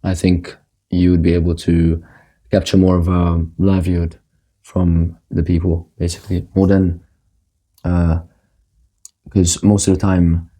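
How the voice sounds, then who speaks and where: A young man from Egypt, raised in Qatar, answering interview questions softly and hesitantly, often repeating words. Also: low-pitched, little pitch movement, measured pace, long pauses. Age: young adult. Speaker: guest. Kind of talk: casual interview talk.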